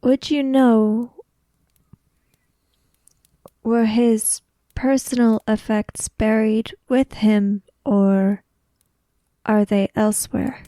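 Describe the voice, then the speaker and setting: Young samurai woman, female player acting, tabletop game: mellow, very slow, formal, polite, reserved questioning. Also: a little high, steady tone, dry recording. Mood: reserved, curious.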